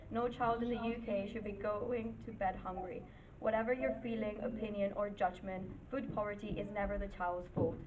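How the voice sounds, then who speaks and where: Woman reading aloud, distant and noisy. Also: mid-range voice. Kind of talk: dramatic reading of speeches aloud. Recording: distant, muffled, noisy recording.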